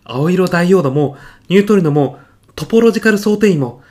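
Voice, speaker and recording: A man's voice: sits high, fast talker. A man playing an earnest, solemn physicist disciple. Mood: proud, impassioned. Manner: formal, solemn, deadpan, grandiose storytelling. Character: earnest, reverent male physicist, devoted protégé of late professor. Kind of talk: audio comedy sketch acting.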